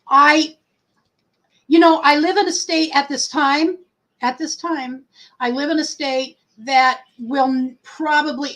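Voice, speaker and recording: A woman's voice: high-pitched, a bit bright, rough recording. A woman with a big voice hosting a casual livestream, rambling and correcting herself as she talks. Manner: rambling, self-correcting, many pauses. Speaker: host of a livestream.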